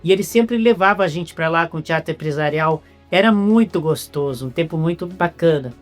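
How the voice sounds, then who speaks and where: A woman's voice: low voice, animated, fairly quick, faint music behind. A woman with a Brazilian accent doing a casual, chatty interview, often repeating words as she searches for the phrase.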